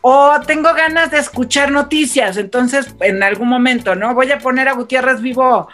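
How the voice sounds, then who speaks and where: A woman's voice: high voice, normal speed, a bit bright, faint music behind. A woman with a Mexican accent, talking informally as a radio panel guest and explaining her opinions in a chatty way. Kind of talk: conversational panel talk.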